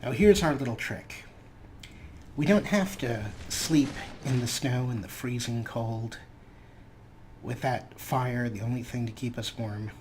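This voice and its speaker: A man's voice: mid-range voice, measured pace, slight background noise. Wry, dramatic let's play narrator, man. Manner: dry, dramatic storyteller, refers to his character in third person. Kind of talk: wry narrated gameplay commentary.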